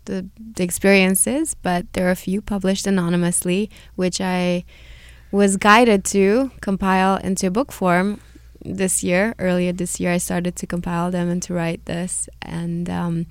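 A woman with a Hungarian Romanian accent speaks as a radio guest in a slightly low, smooth voice. She sounds earnest and encouraging, repeating small words as she goes. The studio sound is close and dry. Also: level tone, a little slow, no echo. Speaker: guest, holistic healer and author. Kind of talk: radio interview.

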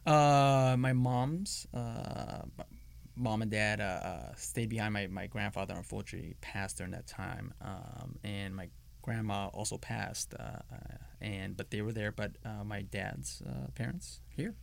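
Middle-aged guy from iran, low gravelly voice, lots of ums and uhs as he answers questions. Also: a little slow. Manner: hesitant, many ums and uhs, restarts. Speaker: interviewee. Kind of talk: casual interview answers.

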